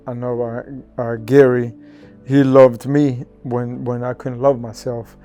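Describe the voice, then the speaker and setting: Mellow-voiced man, flat and halting. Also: mid-range voice, faint music behind. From El Salvador. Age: 40s. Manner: earnest, halting, often restarting his sentences. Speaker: testimony speaker. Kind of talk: personal faith testimony.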